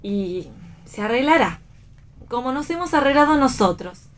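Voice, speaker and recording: A woman with an Argentine accent playing a stoic, plain-spoken, quietly hopeful woman in a read radio play. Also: high voice, lively intonation, moderate pace. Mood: calm, hopeful. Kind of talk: read radio theatre dialogue.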